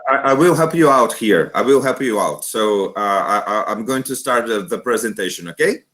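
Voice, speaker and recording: A man's voice: fairly low pitch, animated, normal speed, fairly bright tone. A man hosting an online academic panel, introducing a guest formally in English while stumbling over words. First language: Portuguese. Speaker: host and moderator.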